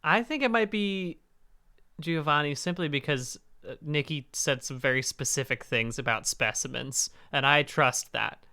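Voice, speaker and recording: Male host, hoarse and raspy, bright tone, rambling gamer chat. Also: moderate pace. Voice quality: deeper raspy register. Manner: rambling, self-interrupting. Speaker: stream host. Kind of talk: casual gaming chat on a charity stream.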